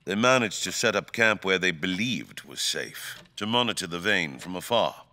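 A man performs an imperious fantasy monarch for an audio drama. The voice is deep and bright-edged, with brooding weight. The diction is formal and theatrical, each phrase placed deliberately. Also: expressive, full character voice. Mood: stern, somber. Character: grave, imperious fantasy king, eloquent and brooding.

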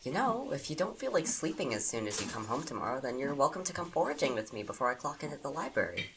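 A woman's voice: very deep voice, very animated, fast, creaky, noisy background, heavily acted. A woman playing a bossy, caring young goth witch roommate in an audio drama, teasing fondly. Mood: caring, nagging. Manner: bossy, mock-threatening, fond teasing.

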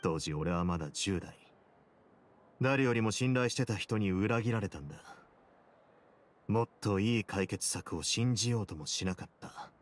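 A man playing a cool, brooding young fortress warden in a game, speaking in a measured, grave, self-possessed way. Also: lowish voice, expressive, a little hiss or hum, natural voice. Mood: resolute, somber. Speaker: game character. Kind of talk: dramatic game dialogue.

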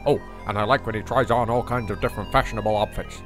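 A man's voice: fairly low pitch, fairly even intonation, quick pace, music in the background, natural voice. A man voicing an enthusiastic young monster fan in a video game. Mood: eager.

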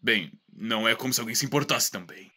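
A man's voice: on the low side, fast talker, bright, forceful tone, slight background noise. A man with a Brazilian accent playing an arrogant, short-tempered alien villain in a comedic anime parody dub, sarcastic and blustering. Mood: irritated, smug. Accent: Brazilian Portuguese.

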